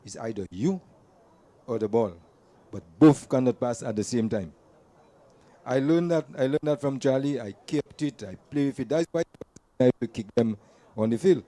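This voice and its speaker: Mellow-voiced man telling stories. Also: mid-range voice, lively intonation, moderate pace, long pauses. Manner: earnest, repeats words as he restarts, plain-spoken. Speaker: invited speaker at a community gathering. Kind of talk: personal storytelling speech to an audience. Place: community event venue.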